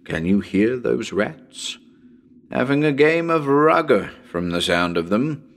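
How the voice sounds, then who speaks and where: A man's voice: medium pitch, sing-song, slow pace. A man playing a nervous radio broadcaster on a ghost hunt in a dramatic reading, talking to listeners in a chatty, hesitant, uneasy way. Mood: rattled, gloomy. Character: nervous male radio broadcaster ghost hunting in haunted house.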